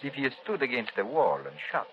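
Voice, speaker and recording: Actor as scheming doctor, swooping old radio delivery. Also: male voice, medium pitch, very animated, fast, natural voice. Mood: sly insinuating. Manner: formal, insinuating. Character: smooth scheming foreign revolutionary doctor, a man. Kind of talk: 1930s radio acting. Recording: dull lo-fi 1930s radio broadcast recording.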